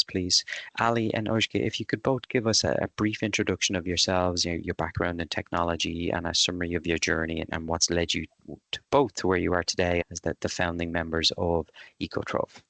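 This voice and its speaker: A man hosting an interview podcast who asks long questions with halting restarts and repeated words. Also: low-pitched, creaky, a bit bright, no echo. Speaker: podcast host.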